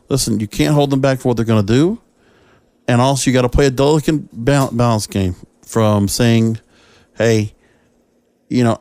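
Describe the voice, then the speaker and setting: A man with a mid-pitched voice hosts a solo podcast. He talks through his commentary in a halting, meandering way, often doubling back to restate a point. The sound is dry, with very little room to it. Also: dark tone, no room sound. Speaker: podcast host.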